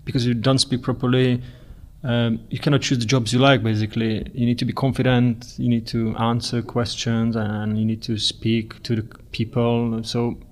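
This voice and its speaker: A man answers interview questions in a mid-pitched voice. His speech is notably even and monotone. He talks in a measured, earnest way. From Czech Republic. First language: Czech. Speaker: restaurant general manager.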